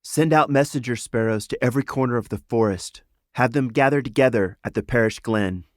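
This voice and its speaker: A man's voice: medium pitch, a little fast, dry recording, clean studio sound, voice pushed up, lightly acted. A man voicing a resolute young animal noblewoman in a storybook, firm and purposeful.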